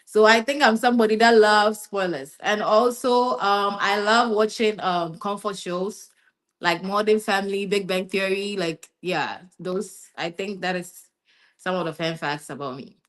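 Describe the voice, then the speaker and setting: Young woman from ghana, interview guest, relaxed and rambling, mid-pitched voice. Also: a little slow. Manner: relaxed, rambling, repeats herself, fond of "yeah" and "basically". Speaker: interview guest, master's student. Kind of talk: casual interview chat.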